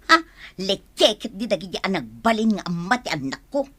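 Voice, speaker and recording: A woman's voice: medium pitch, big pitch swings, fairly quick, pushed and bright, no echo. A woman playing a defiant young single mother in a radio drama, plaintive, with an Ilocano Filipino accent. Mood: resentful.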